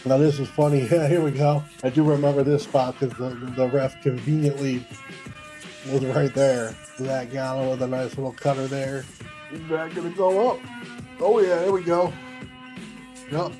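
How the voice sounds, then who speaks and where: A man's voice: medium pitch, fairly even intonation, moderate pace, over music. A man giving casual, informal play-by-play wrestling commentary, correcting himself as he goes.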